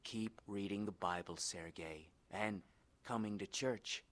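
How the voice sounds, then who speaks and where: Actor as a slow-speaking, kindly pastor. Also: male voice, on the low side, a bit bright. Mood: reassuring. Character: kindly, patient male church pastor guiding a seeker. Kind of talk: dramatized scripted dialogue.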